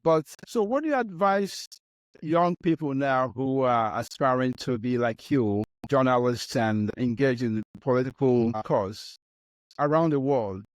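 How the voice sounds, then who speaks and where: A man's voice: medium pitch, a little slow, dry recording, rough recording. A man from Nigeria, a physician hosting an interview, talking emphatically and cutting in with his own opinions. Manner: emphatic, cuts in with reactions and opinions. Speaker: host, physician. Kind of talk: interview conversation.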